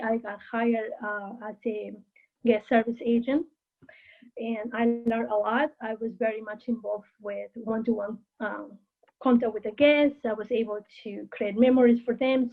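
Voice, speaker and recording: Woman on a tinny line. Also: fairly high pitch. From Peru. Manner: earnest and steady, with frequent "uh" pauses. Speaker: guest, hotel housekeeping manager. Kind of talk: interview, telling her career story.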